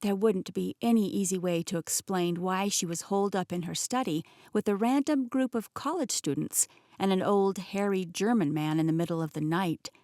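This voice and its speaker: A young adult woman tells a first-person fantasy story in a clear, expressive way. Her pitch sits in the middle of the range. The close studio sound is completely dry. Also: normal speed, hardly any pauses, no echo. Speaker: audiobook narrator. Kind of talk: first-person audiobook narration.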